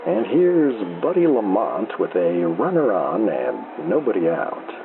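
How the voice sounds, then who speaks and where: An unflappable baseball play-by-play man, played by a male actor comes through a thin, phone-like radio signal thick with noise. His delivery is deadpan and slow, with a gravelly creak and a small-town broadcast feel. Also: sits low, exaggerated voice. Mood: calm, matter-of-fact. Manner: unhurried, deadpan. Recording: noisy, thin telephone-like AM radio sound.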